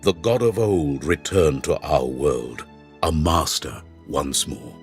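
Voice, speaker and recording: Deep, solemn fantasy mentor. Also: male voice, slow. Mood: solemn storytelling. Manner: grave, portentous. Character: solemn male mentor guide and storyteller, grave and measured. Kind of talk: dramatic fantasy game dialogue and narration. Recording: music under the voice.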